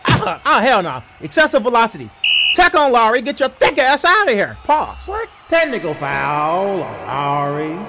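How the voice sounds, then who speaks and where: Animated high-pitched man talking profane trash. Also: normal speed. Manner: profane trash talk, exclamatory. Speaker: comedy voiceover creator. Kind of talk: improvised-sounding comic sports voiceover.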